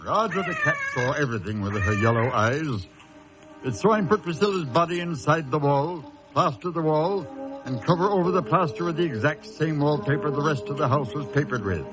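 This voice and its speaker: Actor as a genteel, highly animated horror storyteller. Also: male voice, lightly acted. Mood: sinister, hushed. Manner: measured, suspenseful, theatrical. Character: genteel former teacher spinning a sinister horror tale. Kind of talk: dramatic radio storytelling. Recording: dull lo-fi radio recording, music behind.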